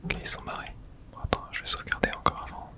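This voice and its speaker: A woman whispering casual, slangy ASMR commentary as she plays a horror video game. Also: fast.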